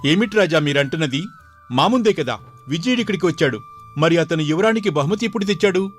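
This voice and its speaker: An indignant rival prince from a folk tale, played by a man. He challenges doubtfully, talking fast in a fairly high voice over faint music. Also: expressive, close to an everyday voice. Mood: indignant protest. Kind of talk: dramatised Telugu folk tale.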